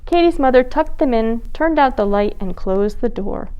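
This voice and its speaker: A woman hosting a children's storytime, reading picture books aloud. Her voice is a touch high and swoops in a lively sing-song, with a warm, animated storyteller's cadence. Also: normal speed, smooth, highs cut off. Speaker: children's librarian, storytime host.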